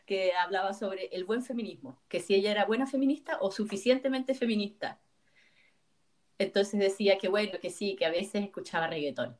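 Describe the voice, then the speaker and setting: A woman in her forties co-hosting a casual podcast, chatty and quick to laugh. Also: mid-range voice, animated. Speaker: podcast co-host.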